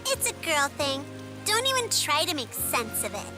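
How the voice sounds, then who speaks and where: Squeaky, cheeky little kid. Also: female voice, sing-song, moderate pace. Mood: teasing. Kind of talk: animated video game voice acting. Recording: studio voice with clear music behind.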